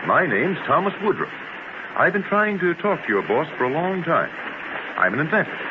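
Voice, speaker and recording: An actor playing an eager, folksy, persuasive inventor in a 1930s radio drama. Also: male voice, fairly high pitch, big pitch swings, normal speed. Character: eager, folksy, persuasive male inventor pitching his creation. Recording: muffled, noisy 1930s radio transcription.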